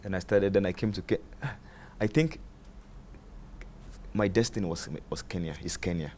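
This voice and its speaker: Young nigerian guy, very low voice, talks animated and trips over his words a lot, bit of faint noise behind. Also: normal speed. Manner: animated, repeats words while searching for them. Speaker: comedian guest. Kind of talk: casual interview chat.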